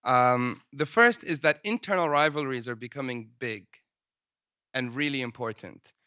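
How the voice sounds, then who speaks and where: A man presenting his research at a panel in a conference room, speaking in a measured way with frequent ums. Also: medium pitch, bright, forceful tone, no room sound, studio quality. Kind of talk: academic talk at a panel. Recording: poor sound quality.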